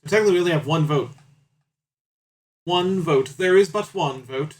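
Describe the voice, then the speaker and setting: Higher-pitched man, playful livestream banter. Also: normal speed. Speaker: livestream host reading out game and chat choices. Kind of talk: casual stream chat and commentary on a visual novel.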